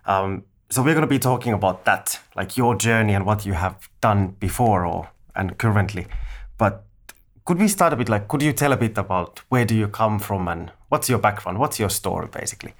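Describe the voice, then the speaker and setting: A man's voice: on the low side, animated, normal speed. A man hosting a podcast interview, casual and rambling, with many fillers like "um" and "like". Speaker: podcast host.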